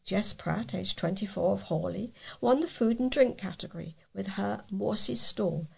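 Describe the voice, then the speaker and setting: A British woman reading local news aloud as a volunteer reader, in a measured, plain way with a gravelly, husky voice. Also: mid-range voice, a little mellow.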